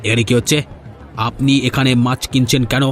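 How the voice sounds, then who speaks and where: A man's voice: mid-range voice, flat delivery, moderate pace, a faint crowd, natural voice. A man playing a village boss's tattling henchman in a cartoon folk tale, sounding officious and aggrieved. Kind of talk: Bengali animated folk-tale dialogue.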